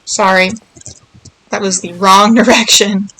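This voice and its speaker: A woman's voice: medium pitch, level tone, unhurried, bright, forceful tone, low-quality recording. A woman giving casual, playful video game commentary, thinking aloud and murmuring little nonsense words to herself as she plays.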